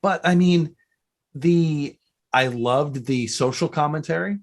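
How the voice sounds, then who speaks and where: A man's voice: a little high, slow pace. A man hosting a podcast in casual fan chat, rambling and often cutting into his own sentences. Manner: rambling, self-interrupting. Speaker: podcast host.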